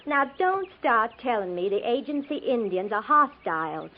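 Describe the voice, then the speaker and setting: An actress playing a proud, independent young frontier wife, guarded and stubborn, in a 1950s radio drama. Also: female voice, on the high side, big pitch swings, normal speed. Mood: defiant. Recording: tinny, noisy 1950s radio recording.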